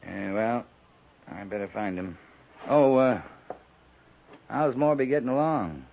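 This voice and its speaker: An actor playing a weary, laconic frontier cavalry captain with dry humor in a 1950s radio western. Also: male voice, mid-range voice, lively intonation, measured pace, vocal fry. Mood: businesslike. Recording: tinny, hissy 1950s radio recording.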